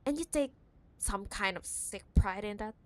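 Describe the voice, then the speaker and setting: A woman acts out a rich, possessive cyberpunk CEO toying with her ex in an intimate audio drama. She talks slowly at a medium pitch, stretching words for emphasis. Her manner is teasing, condescending and full of scorn. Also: a little mellow, lightly acted. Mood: exasperated, scornful. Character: possessive, condescending wealthy female CEO ex-girlfriend in cyberpunk city. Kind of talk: ASMR audio roleplay, intimate dramatic monologue.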